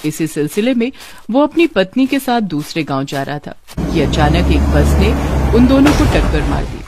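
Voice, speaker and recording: A woman's voice: lowish voice, very animated, moderate pace, background noise. A woman narrating a scripted village cartoon story.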